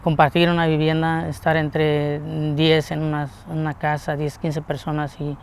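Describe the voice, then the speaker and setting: A middle-aged man from Mexico who works at a food plant, speaking plainly and haltingly in a documentary interview. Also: fairly high pitch, monotone, clear, resonant tone. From Mexico (Guanajuato). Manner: halting, plain-spoken, repeats words as he searches. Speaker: onion plant food-safety worker.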